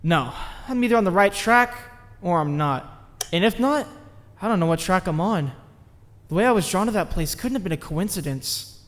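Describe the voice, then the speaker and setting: High-voiced, earnest teenage boy played by a man. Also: animated, normal speed, a bit bright, big-room sound. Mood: musing narration. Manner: earnest, musing, introspective. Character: earnest, intense teenage boy obsessed with fate. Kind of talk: comic dub acting with first-person narration.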